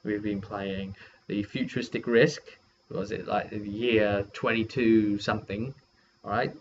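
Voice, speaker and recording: A man giving a casual, rambling recap as a game master and video host, halting and often correcting himself mid-sentence. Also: fairly low pitch, fairly even intonation. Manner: halting, self-correcting delivery. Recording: dull lo-fi sound with faint noise.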